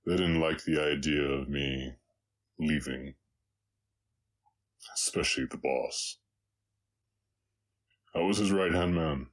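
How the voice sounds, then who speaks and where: A man playing a gentle, brooding orc ranch hand with a Southern US accent, talking in a halting, solemn drawl in an intimate ASMR roleplay. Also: a bass voice. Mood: haunted, regretful. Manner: halting, subdued, solemn drawl with long pauses. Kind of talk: ASMR romance audio roleplay, intimate confessional storytelling.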